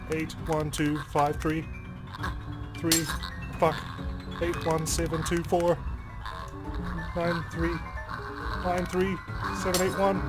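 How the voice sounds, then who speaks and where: A man hosting a game playthrough, reading narration aloud expressively and dramatically. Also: on the high side. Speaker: gaming video host. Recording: game music behind the voice.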